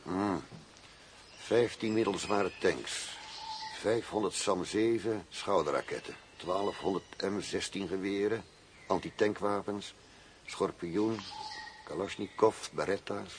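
An actor playing a cool, demanding arms buyer in a radio drama, formal, firm and self-assured. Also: male voice, sits low, measured pace, creaky. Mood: firm, businesslike. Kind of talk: Dutch radio drama acting. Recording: noisy old radio drama recording.

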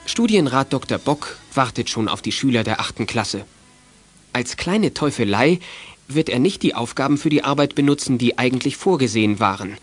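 A man plays the composed narrator of a children's audio drama. His voice sits at a middle pitch. The delivery is scripted storytelling. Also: lively intonation, normal speed, faint music behind, lightly acted. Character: composed male storyteller of a youth detective tale.

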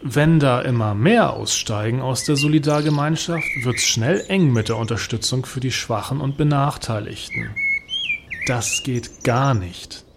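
A man acts out a smug provocateur artist in a satirical radio play monologue. The voice sits at a medium male pitch and recounts things with measured, wry self-assurance. Clear background noise and birdsong surround it. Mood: wry, mock-earnest. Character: provocative male performance artist, smugly recounting his political awakening.

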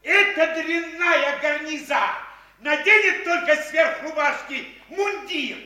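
An actor playing a fretful, scheming middle-aged provincial mayor, muttering to himself and bursting into shouts. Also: male voice, very high-pitched, slow, full character voice. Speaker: actor in a radio play. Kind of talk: classic stage radio acting.

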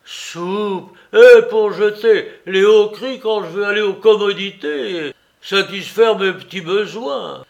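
A man's voice: high-pitched, animated, pitched above the performer's own voice. A man voicing a stubborn, dim-witted old clerk in his sixties, indignant and whiny in a storybook reading. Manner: indignant, whiny, drawn-out exclamations. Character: cranky stubborn old male office clerk.

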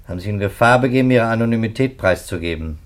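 An actor playing a sceptical, methodical police detective in a 1950s radio play, speaking in a clipped, businesslike manner. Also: male voice, lowish voice, animated, fast, lightly acted. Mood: pondering, insistent. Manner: businesslike, clipped, rattles off facts.

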